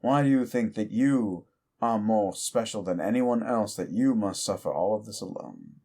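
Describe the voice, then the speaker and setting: A man voicing an ancient, petty male demon who mocks a mortal in a slow, deep drawl, in audio roleplay. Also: lively intonation, warm tone. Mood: taunting.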